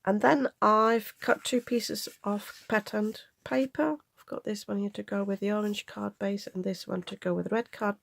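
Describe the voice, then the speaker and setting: Nasal, gravelly-voiced woman with a head cold. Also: medium pitch, no echo. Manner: chatty, practical, explains measurements step by step. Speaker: craft channel host. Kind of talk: card-making tutorial. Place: home craft table.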